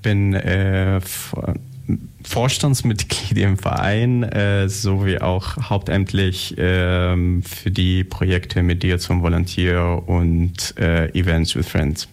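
Guest, man, 36, very low and gravelly, slow, halting with fillers. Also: level tone, a little mellow. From Germany. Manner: hesitant, many fillers. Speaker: guest, association board member. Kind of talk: radio interview.

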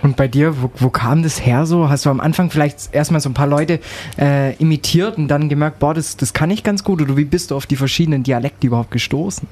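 A man hosting a radio show, asking questions in a brisk announcer manner. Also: on the high side, a little mellow. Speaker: radio host. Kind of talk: radio interview.

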